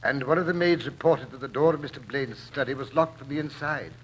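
An actor playing a formal, deferential butler in a 1940s radio drama. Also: male voice, mid-range voice, a little fast, breathy edge, natural voice, poor audio. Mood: deferential, grave.

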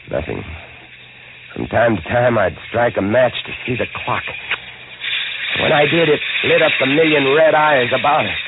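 An actor playing a desperate, weary lighthouse keeper, narrating dramatically and deliberately in old-time radio horror style. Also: male voice, sits low, exaggerated voice. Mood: urgent horror. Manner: dramatic, deliberate, hushed to urgent swells. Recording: tinny, band-limited vintage radio recording.

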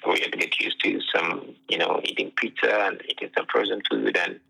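A young man from Uganda, a pro soccer player telling his story in a relaxed interview, full of "you know" fillers, heard over what sounds like a phone line. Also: deep voice, fairly quick, gravelly, husky. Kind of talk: casual interview chat.

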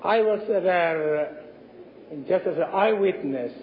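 A man from Finland with a Finnish accent, an assistant pastor giving a halting, earnest religious testimony. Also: high voice. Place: large reverberant meeting hall. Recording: muffled, echoing hall recording, faint noise.

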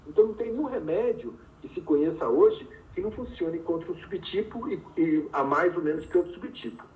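A man's voice: fairly high pitch, expressive, fairly quick. A man, a physician and researcher, patiently explaining as a guest in an interview with everyday examples. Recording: distant, echoey, phone-like remote audio.

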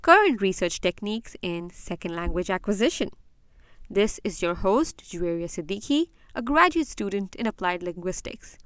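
A young woman, a graduate student, hosting an educational podcast, explaining in a measured way and speaking directly to parents. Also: mid-range voice, a bit bright, clear, resonant tone. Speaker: podcast host; graduate student in applied linguistics. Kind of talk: scripted educational podcast talk.

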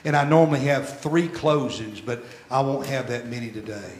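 A pastor in his sixties preaching a sermon, repeating phrases as he swells loud, then dropping very soft. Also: medium pitch, normal speed. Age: 60s. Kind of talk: sermon with storytelling. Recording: echoey church room.

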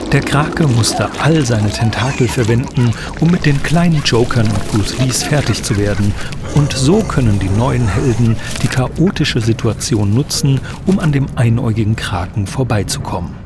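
A man reading scripted narration for an audio play. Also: medium pitch. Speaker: narrator and sound designer.

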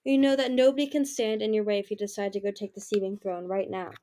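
A woman's voice: medium pitch, flat delivery, fast talker, mellow, lightly acted. Darkstalker, a woman doing a menacing dragon king. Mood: cold. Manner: growling, clipped, icy. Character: menacing ancient male dragon king, cold and cruel.

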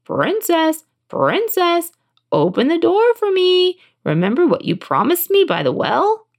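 A woman's voice: very high voice, wildly swooping pitch, a little slow, pitched above the performer's own voice, exaggerated voice. A woman voicing a small male talking frog from a storybook, eager and insistent, who punctuates speech with ribbits. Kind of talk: storybook character voice.

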